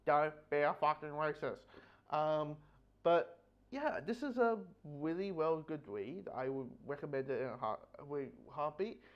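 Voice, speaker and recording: A man giving a casual, unscripted review in a rambling, halting way, often repeating words and restarting, with dull lo-fi sound. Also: a little high, expressive. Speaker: book review host.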